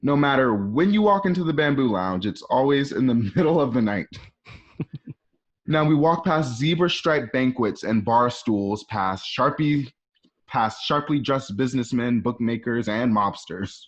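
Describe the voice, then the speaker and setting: A man's voice: medium pitch, animated, unhurried. A man reading scripted scene descriptions as the narrator of an amateur radio play. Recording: dull lo-fi home recording.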